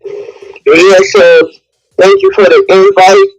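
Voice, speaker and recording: A woman's voice: very high-pitched, big pitch swings, takes it slowly, gravelly, soft background music, rough recording. A woman calling in to a live discussion, speaking emphatically and repeating phrases for force. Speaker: caller in a live audio discussion. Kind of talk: impassioned spoken opinion.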